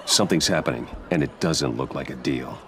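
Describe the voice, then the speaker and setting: An actor playing a brooding vigilante billionaire in a video game, grave and measured. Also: male voice, a bass voice, crowd noise. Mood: guarded, focused. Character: brooding younger billionaire vigilante, guarded and resolute. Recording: clear background noise and ambience.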